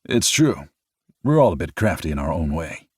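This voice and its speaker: A man voicing a teasing, protective adult man in a close family, in a raised, louder voice than his narration. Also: low-pitched, big pitch swings, normal speed, breathy edge, no room sound, exaggerated voice. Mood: friendly.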